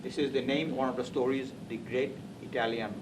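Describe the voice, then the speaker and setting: A man from Assam, speaking natively, recalls his writing in a halting interview manner, often restarting words. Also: medium pitch, fairly even intonation. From Assam, India. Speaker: interviewee, author. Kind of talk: reminiscing oral history interview. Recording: distant mic, loud background noise.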